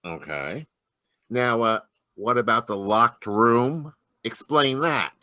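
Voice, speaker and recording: A man's voice: medium pitch, slow pace, pushed and bright, no room sound, studio quality. A man playing a plain-spoken, slightly hesitant police lieutenant in an old-time radio detective drama. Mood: puzzled, businesslike. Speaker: actor. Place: live online video call.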